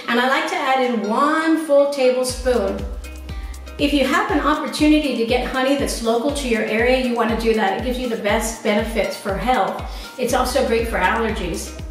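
A woman in her forties hosting a cooking show, talking viewers through each step in an upbeat, chatty way in her home kitchen. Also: a little high. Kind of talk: cooking demonstration to camera. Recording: very echoey kitchen, voice a bit distant.

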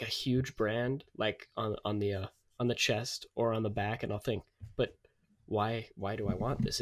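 A man co-hosting a podcast in casual comic chat, talking informally and with emphasis. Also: on the low side. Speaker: podcast co-host.

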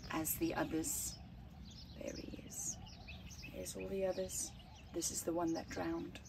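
A middle-aged woman reading a children's picture book aloud outdoors, phrasing deliberately with dramatic emphasis and hushed words. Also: fairly low pitch, background noise. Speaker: storytime reader. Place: outdoors at a flower farm. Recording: outdoor ambience with birdsong.

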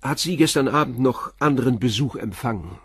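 An actor playing a calm police inspector in a radio play, asking short, persistent questions. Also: male voice, medium pitch, a little fast, husky, no echo, clean studio sound. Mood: probing.

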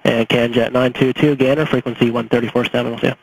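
Guy doing air traffic control over radio, talks fast, bit of static. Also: medium pitch, flat delivery, gravelly. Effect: aviation radio. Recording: faintly noisy air traffic radio recording.

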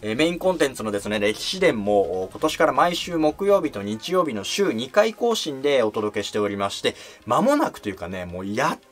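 Lively young man explaining history. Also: mid-range voice, soft background music. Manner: polite, lively, with drawn-out fillers. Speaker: history channel host.

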